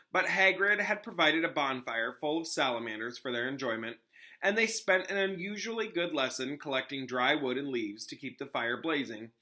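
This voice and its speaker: A man's voice: medium pitch, sharp tone. A man, a teacher, reading a story aloud expressively with casual explanatory asides.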